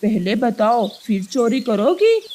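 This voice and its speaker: A woman voicing a blustering male bird in a children's cartoon, emphatic and expressive. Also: a little high, slow pace, a little hiss or hum. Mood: stern. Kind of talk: Hindi children's cartoon character voice.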